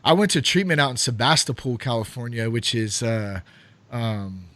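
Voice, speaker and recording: A man's voice: medium pitch, normal speed, fairly bright tone. A man in his thirties hosting a podcast, talking loosely and casually with lots of fillers and swearing. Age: 30s. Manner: rambling, loose, swears freely, many fillers. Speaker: podcast host.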